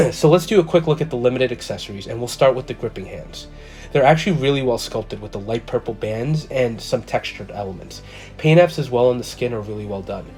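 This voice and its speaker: A man hosting his own collector channel, talking through a careful review in a measured, methodical way, a little stuffy from a cold. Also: mid-range voice, animated, darkish tone, faint music behind. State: slightly congested from a cold. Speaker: toy collector channel host. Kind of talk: scripted product review voice-over.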